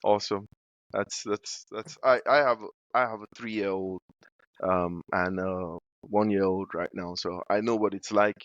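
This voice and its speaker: Casting: deep-voiced male host from Africa, halting and filler-heavy, on a dull, dry, muffled recording. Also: steady tone, fairly bright tone, no echo. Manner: hesitant, many fillers while asking questions. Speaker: podcast host. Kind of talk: casual interview.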